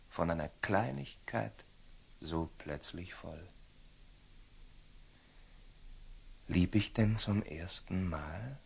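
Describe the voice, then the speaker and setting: An actor playing a proud, tormented young Knight Templar in a classical German radio drama, impulsive and declamatory, moving between muttering and outbursts. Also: male voice, low voice, vocal fry, slightly rough, heavily acted. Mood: troubled, musing. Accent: standard German.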